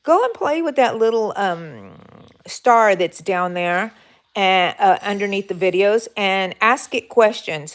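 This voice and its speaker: Chatty middle-aged woman with a Minnesota accent. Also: mid-range voice. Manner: rambling, effusive, reads out chat names. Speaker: crochet livestream host. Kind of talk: chatty live stream talk.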